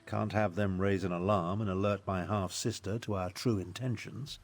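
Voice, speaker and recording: An actor playing a proud, touchy, dry-witted male spellcaster in a video game, speaking formally and deliberately. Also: on the low side, steady tone. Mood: grave, explanatory.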